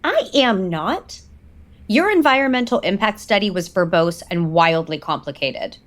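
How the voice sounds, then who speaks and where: A woman's voice: medium pitch, sing-song, moderate pace, natural voice. A woman playing a cool, insistent, controlling businesswoman in an audio soap opera, with clipped formal delivery. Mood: insistent, defensive. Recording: music bed under the dialogue.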